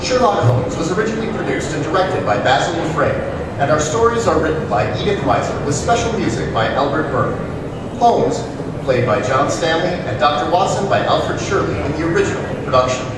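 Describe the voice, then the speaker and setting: A man playing a brisk, lively announcer in a live stage recreation of a 1940s radio show. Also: on the high side. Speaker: announcer and interviewer in a radio play. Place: auditorium stage before a live audience. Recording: echoey hall from far off with audience.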